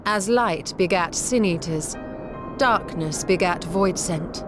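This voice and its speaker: A woman playing a perceptive, composed woman in a fantasy video game, speaking in a formal, courteous, measured way. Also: on the low side, over music. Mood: composed, probing. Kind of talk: dramatic video game cutscene acting.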